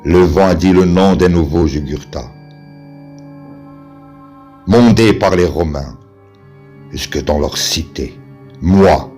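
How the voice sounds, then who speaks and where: Male poetry reciter, very low voice, solemn and declamatory, unhurried, over music. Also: a little mellow. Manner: slow, measured, declamatory delivery with pauses.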